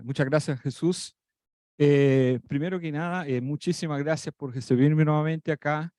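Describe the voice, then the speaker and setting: A man's voice: mid-range voice, dark tone, no echo, clean studio sound. A man whose first language is Portuguese, speaking with a Portuguese accent as guest speaker at an academic talk. He talks casually, with many fillers and self-corrections. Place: lecture room.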